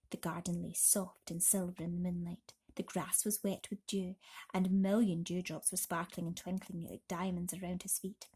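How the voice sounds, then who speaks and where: A woman narrating a children's bedtime story expressively, dramatically dropping into breathy, hushed tones. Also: mid-range voice. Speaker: storybook reader.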